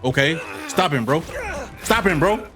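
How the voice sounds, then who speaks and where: A man's voice: fairly high pitch, lively intonation, unhurried. A man doing casual gaming reaction commentary in a slangy, exclamatory way, throwing in short interjections. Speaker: gaming streamer reacting to a game. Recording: game music behind the voice. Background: video game music.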